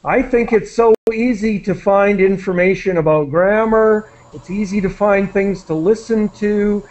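A man's voice: high voice, a little slow, a little mellow. A man who is a native English speaker, chatting casually and encouragingly with students as their conversation teacher on a video call, with many fillers. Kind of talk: casual online class chat. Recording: muffled video-call audio.

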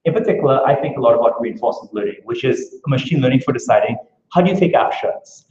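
A man with a Trinidadian accent gives an academic talk at a rapid clip, posing questions to his audience as he explains. His voice sits in a middle register. The room is echoey and the recording sounds somewhat muffled. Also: medium pitch, darkish tone. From Trinidad and Tobago. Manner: brisk, earnest, explaining with rhetorical questions. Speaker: academic speaker. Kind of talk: conference talk. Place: lecture room.

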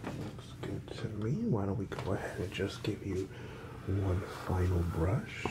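A man in his thirties doing a soothing ASMR roleplay in a soft, breathy whisper and murmur, gentle and reassuring. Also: deep voice, steady tone, unhurried, heavy background noise. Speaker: ASMR performer as hairdresser. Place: small indoor room set up as a salon.

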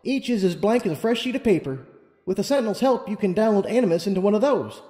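A man voicing a confident, helpful female scholar pony in a storybook reading, explaining things briskly. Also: sits high, animated, dark tone, pitched above the performer's own voice. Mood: explanatory. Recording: long reverb, music underneath.

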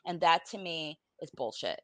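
A woman's voice: sits low, animated, moderate pace, fairly bright tone, no echo. A woman in her forties from Puerto Rico, speaking candidly and bluntly on a video-call panel, sounding worn out. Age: 40s. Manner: blunt, informal, salty. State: weary, emotionally exhausted. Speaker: podcast guest. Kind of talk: candid personal panel talk. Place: room at home, on a video call.

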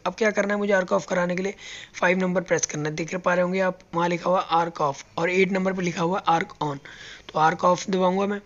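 A man's voice: high-pitched, fairly bright tone, muffled recording. A man teaching robot welding step by step, explaining each button as he presses it on a factory floor. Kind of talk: hands-on tutorial.

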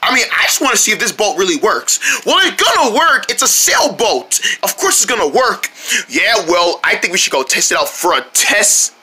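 A performer voicing an excitable, childlike cartoon character in playful, exclamatory banter on a noisy home recording. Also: medium pitch, moderate pace, breathy edge, full character voice. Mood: eager.

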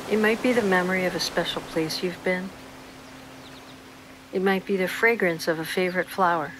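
A woman with a fairly low voice leads a guided meditation, speaking slowly and soothingly with gentle pauses. Faint outdoor nature ambience sits quietly behind her. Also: slight background noise, poor audio.

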